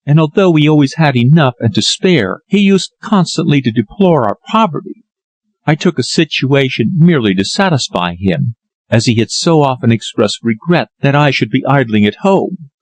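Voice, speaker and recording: A man's voice: mid-range voice, lively intonation, moderate pace, no echo, close to an everyday voice, poor audio. A man reading an audiobook, voicing a distressed young woman who speaks in an earnest, anxious, sorrowful way.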